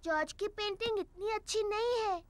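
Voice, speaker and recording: A woman's voice: very high-pitched, moderate pace, no echo. A woman voicing a bossy, giggly little girl piglet in a children's cartoon dub. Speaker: Peppa. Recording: cartoon soundtrack with music.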